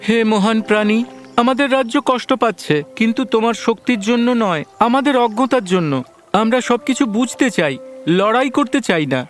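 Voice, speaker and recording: Polite young cartoon prince, super high voice, earnest and formal, bit of music behind. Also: male voice, fairly quick. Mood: respectful, earnest. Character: earnest, courteous young prince seeking wisdom. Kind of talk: animated fairy-tale dialogue.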